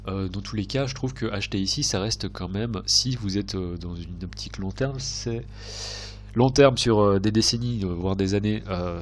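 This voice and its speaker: Deep-voiced man with a slight cold. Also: a little hiss or hum. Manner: hesitant, self-correcting, thinking aloud. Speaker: trading video host. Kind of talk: unscripted chart commentary.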